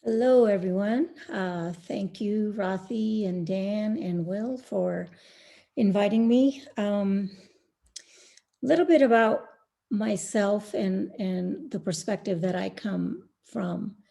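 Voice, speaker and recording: A middle-aged woman from India speaking as a panelist on an online call, slow and thoughtful with many pauses and fillers. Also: medium pitch, gravelly, dark tone. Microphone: video call.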